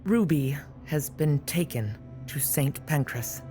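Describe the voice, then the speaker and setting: Loyal motherly guardian, concerned yet composed, slightly low pitch, for audio drama. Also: animated, slow pace, mellow, faint music behind. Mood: concerned, pressing.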